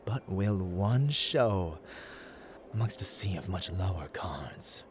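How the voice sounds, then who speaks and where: Deep, theatrical, playful god of luck, acted by a man. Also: animated, takes it slowly. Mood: taunting, dramatic. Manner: theatrical, teasing, formal, condescending, drifting into whispers. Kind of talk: ASMR roleplay, scripted dramatic monologue.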